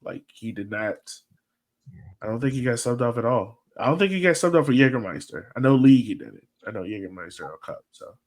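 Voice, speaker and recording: A man's voice: mid-range voice, lively intonation, fairly quick. A man hosting a fan podcast, chatting casually about soccer in a rambling, colloquial, conversational way. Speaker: podcast host.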